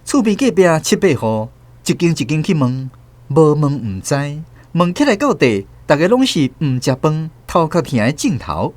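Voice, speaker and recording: A man's voice: on the high side, normal speed, dry recording. A man, host and narrator, reading a story in scripted narration from a radio studio. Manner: steady storytelling.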